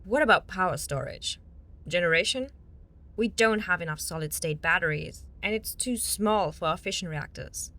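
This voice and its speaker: A woman's voice: lowish voice, normal speed, sharp tone. A woman playing a worried, practical nun who works as an engineer, tentative and earnest, in an audio drama. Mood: practical, doubtful.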